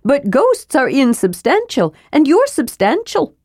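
A woman voicing an excitable, cheeky, childlike male kender adventurer who chatters eagerly in a fantasy audiobook. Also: sits high, measured pace, no room sound, studio quality, pitched above the performer's own voice, exaggerated voice. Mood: scolding, matter-of-fact.

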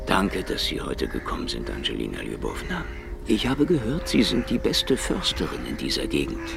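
An actor playing a cynical, world-weary Soviet secret-police detective in a hardboiled radio drama, sardonic and slangy. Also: male voice, rumbling low, huge pitch swings, normal speed, gravelly, noisy background, full character voice. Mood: probing. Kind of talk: noir radio drama acting and narration.

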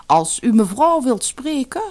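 A woman's voice: fairly high pitch, very animated, unhurried, darkish tone, pitched above the performer's own voice. A woman voicing a formal, aloof servant of a grand house in a fairy-tale audiobook. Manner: stiff, formal.

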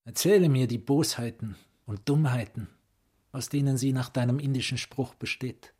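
An actor in a radio play playing a philosophical, probing psychiatrist with an eloquent, rhetorical manner. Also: male voice, medium pitch, normal speed, warm tone, slightly rough, close to an everyday voice. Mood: probing, admiring. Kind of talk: radio drama acting, literary monologue.

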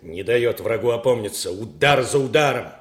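An actor playing a loyal princely officer, an earnest and dramatic confidant, in a radio drama. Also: male voice, medium pitch, animated, moderate pace, natural voice. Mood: eager, confiding. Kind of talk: Soviet-era radio drama acting.

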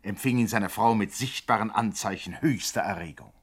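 A man's voice: on the low side, moderate pace, very noisy. A man narrating a 1960s radio play in a grand, mock-solemn way.

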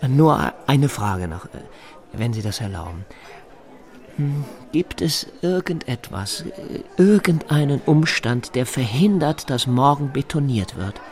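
An insistent man in a radio drama whose politeness carries menace. His voice sits at an ordinary male pitch. He speaks formally and presses with pointed, needling questions. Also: sing-song, slow pace, a little mellow. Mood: quietly menacing. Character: insistent, menacing snooping man with a grudge against big shots. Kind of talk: naturalistic German radio drama dialogue.

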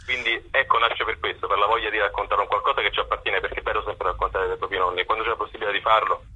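A husky-voiced man answering conversationally in a radio interview, digressing and correcting himself, on a slightly lo-fi line. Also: fairly low pitch, flat delivery, very fast, pushed and bright. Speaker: actor, interview guest.

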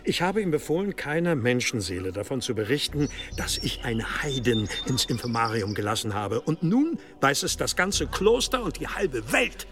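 Stern medieval abbot, animated delivery. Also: male voice, mid-range voice, moderate pace, few pauses. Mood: suspicious, accusing. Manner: authoritative, curt, menacing. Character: stern, commanding medieval abbot planning exorcism. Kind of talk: German audio drama acting.

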